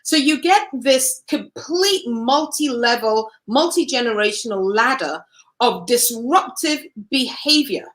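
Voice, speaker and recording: A woman's voice: on the high side, expressive, measured pace, fairly bright tone. A woman from Cameroon, an interview guest telling her story in an earnest, reflective way.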